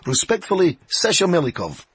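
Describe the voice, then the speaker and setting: A man's voice: high voice, wildly swooping pitch, a little fast, a bit bright, breathy edge, full character voice. An actor playing a formal, oily Russian agency businessman in a radio comedy, stiff and over-polite. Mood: smooth, officious. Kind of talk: radio comedy acting, reading out emails.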